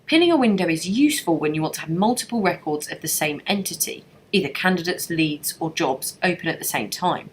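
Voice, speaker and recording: A woman narrating a scripted software tutorial as a trainer, explaining things step by step in an instructional way. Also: lowish voice, animated, fairly quick, hardly any pauses, slight background noise.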